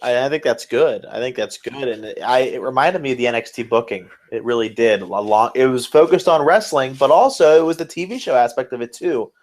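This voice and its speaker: A man's voice: medium pitch, lively intonation, a little fast, hardly any pauses. A man co-hosting a podcast, chatting casually as an enthusiastic fan and swearing freely. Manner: enthusiastic, profane. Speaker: podcast co-host. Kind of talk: casual wrestling fan chat.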